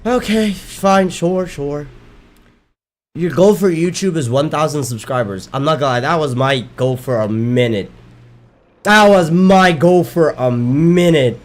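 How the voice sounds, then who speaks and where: A man's voice: on the high side, unhurried. Rambling streamer man, voice worn. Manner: rambling, self-correcting, swears casually. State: losing his voice. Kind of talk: casual chat while gaming.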